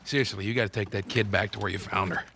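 An American man playing a gruff, sarcastic, paranoid middle-aged survivalist loner in a cinematic video game. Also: fairly low pitch, fairly even intonation, quick pace, noisy background. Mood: bitter, cynical. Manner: gruff, foul-mouthed, sarcastic. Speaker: game character actor.

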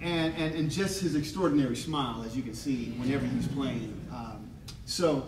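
A man, a jazz bandleader, talking casually to a live audience from the stage, relaxed and full of restarts. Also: mid-range voice, normal speed. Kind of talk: casual stage announcement at a concert. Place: stage of a concert hall before a live audience. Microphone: stage vocal mic on a stand. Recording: roomy hall sound with audience noise.

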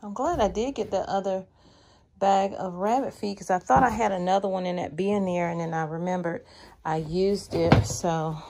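A woman's voice: medium pitch, normal speed, vocal fry, dark tone. A woman homesteading vlogger chatting casually while doing chores, rambling and thinking aloud, filmed on a handheld phone outdoors and in a cluttered shed. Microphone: handheld phone camera. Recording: background music playing over the voice.

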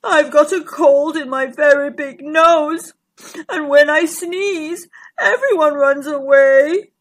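A woman voicing a huge, lonely female dinosaur in a children's story, with a sad, plaintive, drawn-out delivery. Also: high-pitched, pitched above the performer's own voice. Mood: sad, forlorn.

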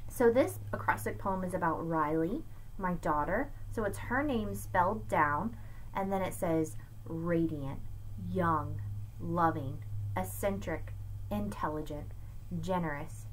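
A middle-aged woman teacher giving an expressive, emphatic read-aloud lesson for children, recorded on a webcam in a room at home. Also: fairly low pitch, measured pace, a little hiss or hum, low-quality recording. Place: small room at home with bookshelves, indoors. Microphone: webcam at arm's length.

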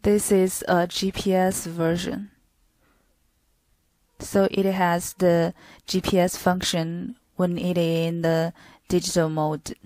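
A woman's voice: on the low side, flat delivery, takes it slowly, darkish tone, no room sound. A woman reading scripted product demo narration in a stilted, word-by-word manner.

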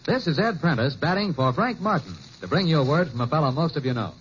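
A man's voice: on the high side, expressive, fast talker, a little hiss or hum. A man hosting a scripted sponsor interview with bantering 1950s radio delivery. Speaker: sponsor announcer interviewing a guest. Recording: muffled old radio recording.

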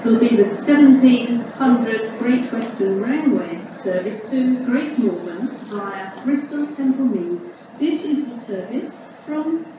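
A woman's voice: fairly high pitch, lively intonation, slow, few pauses. A British woman giving formal, automated railway station announcements, scripted and pieced together phrase by phrase. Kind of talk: scripted public-address announcement. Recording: echoey station PA, distant, noisy.

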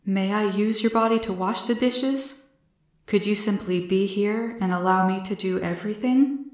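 A woman's voice: medium pitch, a little slow, echoey room, natural voice. A woman voicing a curious, blunt but polite male alien with formal, literal phrasing in a storybook. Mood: gently inquiring. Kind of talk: storybook character voice.

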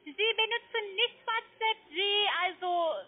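A woman with a foreign accent, not a native speaker, working as a call centre agent, pressing and repetitive in halting sentences. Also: very high-pitched. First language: not German. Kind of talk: phone sales call. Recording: muffled narrow phone line.

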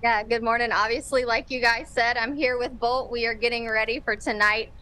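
A young woman with a bright, forceful voice pitched a bit high. She speaks as a team spokesperson in a live TV interview, listing event details from an open-air stadium concourse. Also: fairly even intonation, a little fast. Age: young adult. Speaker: baseball team marketing executive, interview guest.

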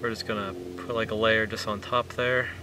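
A man's voice: on the low side, level tone, fairly quick. A man in his thirties casually demonstrating a fishing bait recipe step by step outdoors by a lake, talking plainly with fillers. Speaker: fishing vlogger. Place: outdoors on a lakeshore. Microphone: camera mic from a few meters away. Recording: distant outdoor camera audio with wind noise.

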